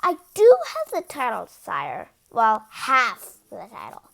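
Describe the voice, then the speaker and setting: Woman voicing a nervous storybook writer, high, halting. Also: wildly swooping pitch, creaky, heavily acted. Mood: flustered, apologetic. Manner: halting, apologetic. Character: nervous, hesitant, deferential royal story writer. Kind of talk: children's picture book read-aloud.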